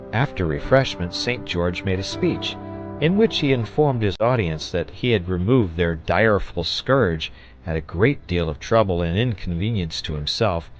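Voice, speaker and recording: A man reading a children's story aloud as an audiobook narrator, in an expressive storybook style. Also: fairly low pitch. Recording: dull, lo-fi audio.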